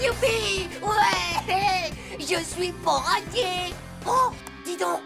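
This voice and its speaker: A woman voicing an excitable, naive and mischievous young boy in a cartoon, with a creaky voice and a dramatic, cocksure delivery. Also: extremely high pitch, extremely slow, heavily acted. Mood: jubilant. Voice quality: creaky, gravelly. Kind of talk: French animated cartoon acting. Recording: music bed under dialogue.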